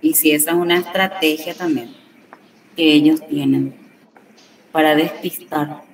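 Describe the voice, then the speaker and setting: Woman, teacher, slow explanatory delivery, distant mic, faint room noise. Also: sits low, fairly even intonation. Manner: slow explanatory, checks understanding with tag questions. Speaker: Guarani teacher. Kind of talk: classroom lesson. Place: classroom.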